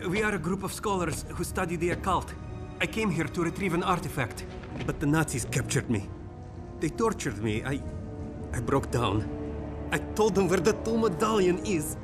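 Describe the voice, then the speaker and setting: A man plays a shaken young male scholar, pleading in a high voice, from a shooter video game. Loud game music runs under him. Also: lively intonation, normal speed, lightly acted. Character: frightened young male occult scholar captive.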